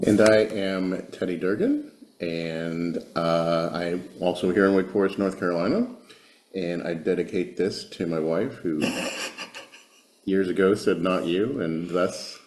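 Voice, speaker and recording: A man introduces himself, then reads a sonnet slowly and deliberately. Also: deep voice, darkish tone. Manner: slow, deliberate reading. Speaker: poetry reader. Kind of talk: sonnet recitation. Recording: roomy echo.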